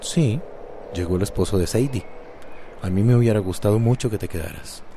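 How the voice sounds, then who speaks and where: An actor with a Costa Rican accent playing a flirtatious, coaxing suitor in a radio drama. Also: male voice, fairly low pitch, brisk, background noise, natural voice. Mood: apologetic, earnest.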